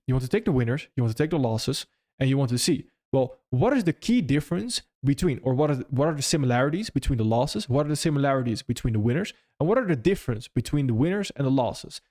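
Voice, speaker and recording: A man's voice: mid-range voice, dark tone. Man hosting a loose live-stream Q&A. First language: not English. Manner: loose and conversational, frequent restarts and "if that makes sense". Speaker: trading stream host. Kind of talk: live stream Q&A, reading chat questions.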